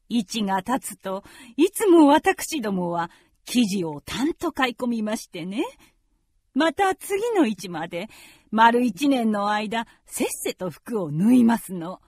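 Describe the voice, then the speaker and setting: A woman's voice: mid-range voice, big pitch swings, slow pace, a little mellow, no echo. A woman playing a genteel, fretful middle-aged mother in a radio drama, with very polite old-fashioned feminine speech. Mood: genteel, proud. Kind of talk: Japanese radio drama acting.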